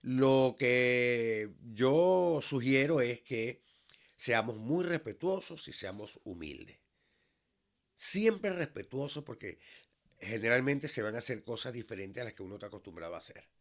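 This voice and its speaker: Venezuelan guy in his 50s talking slow and earnest, lots of restarts, average pitch. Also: lively intonation. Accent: Venezuelan Spanish. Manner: measured and earnest, with frequent restarts. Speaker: vlog host, high school teacher. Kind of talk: reflective solo monologue.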